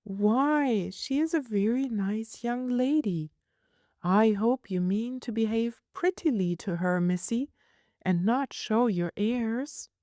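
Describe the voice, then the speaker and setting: Lady doing a fussing old-time nursemaid from a storybook, bit high, scolds fondly. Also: dark tone, dry recording, clean studio sound. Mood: chiding, fond. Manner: brisk, chiding, old-fashioned turns of phrase. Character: brisk, scolding yet fond female nurse.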